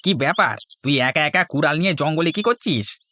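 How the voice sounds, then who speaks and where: A man's voice: a little high, expressive, fairly quick, pushed and bright, a little hiss or hum. A man voicing a concerned villager in a cartoon story, inquisitive and full of caution. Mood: concerned, warning. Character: concerned villager warning a boy of forest dangers. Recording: music behind the voice.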